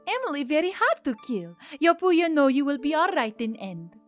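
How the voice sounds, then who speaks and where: A woman's voice: high-pitched, very animated, moderate pace, soft background music, voice pushed up. A woman voicing a loyal female harpy who speaks in simple broken English, in an audiobook story. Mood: reassuring. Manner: third-person, simple clipped phrasing.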